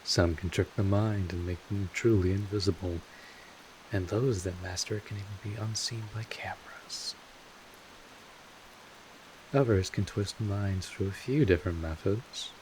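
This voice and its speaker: A man playing a gruff but caring vampire mentor in an audio roleplay, explaining things in an informal, rambling, mildly exasperated way. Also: deep voice, fairly even intonation, measured pace, a little mellow, slight background noise, poor audio. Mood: instructive, matter-of-fact. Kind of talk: scripted audio roleplay addressed to the listener.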